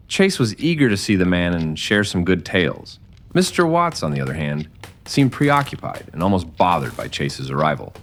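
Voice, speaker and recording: Deep, animated male Western narrator. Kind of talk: scripted Western story narration in old-time radio manner.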